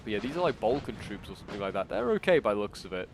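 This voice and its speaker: A man's voice: fairly low pitch, sing-song, very fast, a little hiss or hum. Congested man commentating a game battle. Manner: chatty, thinking aloud with frequent fillers. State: congested with a cold. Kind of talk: casual gameplay commentary. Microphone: new desktop microphone. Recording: game battle sounds behind. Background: gunfire and artillery from the game.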